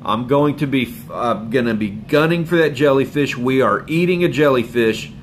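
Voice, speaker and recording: Hoarse laid-back man on a stream. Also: mid-range voice, normal speed, a little hiss or hum. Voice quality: rambling, laid-back, with chuckles and filler words. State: hoarse from a cold. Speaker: fishing channel host. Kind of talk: casual live stream chat. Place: home room with bookshelves and a window. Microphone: phone camera up close.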